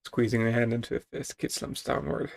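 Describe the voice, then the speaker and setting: A man's voice: mid-range voice, steady tone, a little fast, vocal fry. A man reading a story game's text aloud in a dramatic style, with casual asides and music behind him.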